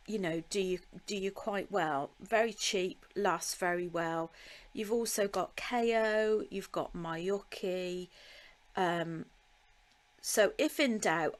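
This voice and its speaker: Woman, slow and chatty, live craft lesson, middle pitch, pauses with ums. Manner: chatty and slow, with laughs and ums, talking to viewers by name. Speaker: beading tutor. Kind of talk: live-stream craft tutorial.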